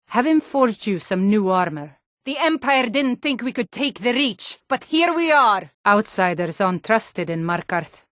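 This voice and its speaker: A woman's voice: medium pitch, dry recording, studio quality, lightly acted. A woman voicing a hardy, forthright Nord townswoman and guard in a fantasy video game, speaking in an earnest, firm, plain-spoken way. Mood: proud, scornful.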